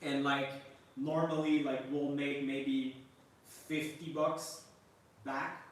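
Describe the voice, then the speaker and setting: A man's voice: medium pitch, level tone, slow, recorded from a distance. A young man with a French Canadian accent, a native French speaker from Montreal, chatting casually on a travel livestream with many fillers. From Montreal, Quebec, Canada. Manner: rambling, many "like" fillers and restarts. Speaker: travel vlogger hosting a livestream. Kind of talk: casual live chat reading viewer questions. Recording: echoey room, off-mic, faint noise.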